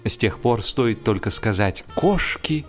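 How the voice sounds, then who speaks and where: A man narrating a humorous literary story for an audiobook in an expressive, measured, theatrical way. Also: mid-range voice, soft background music.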